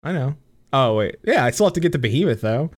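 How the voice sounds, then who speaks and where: A man's voice: medium pitch, very animated, quick pace, no room sound, studio quality. A young man co-hosting a casual gaming podcast over a voice call. He giggles a lot, swears freely and uses many fillers. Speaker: podcast co-host. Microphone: computer mic on voice call.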